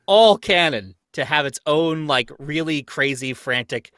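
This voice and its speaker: A man's voice: on the high side, expressive, a little slow, fairly bright tone, dry recording, studio quality. A young adult man in casual conversation as a livestream panelist, retelling things in a loose, amused way. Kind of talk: casual chat about cartoons.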